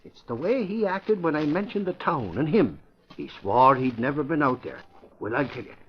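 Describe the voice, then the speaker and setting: Blustery veteran reporter. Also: male voice, on the high side, normal speed. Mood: conspiratorial. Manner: blustery, excitable. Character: blustery middle-aged male newspaper reporter. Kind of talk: 1940s radio acting. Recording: muffled vintage radio recording with faint hiss.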